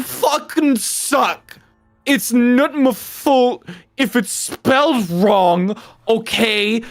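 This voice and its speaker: A woman doing a comedic reading with playful, interjecting banter as a co-reader on a live stream. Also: fairly high pitch.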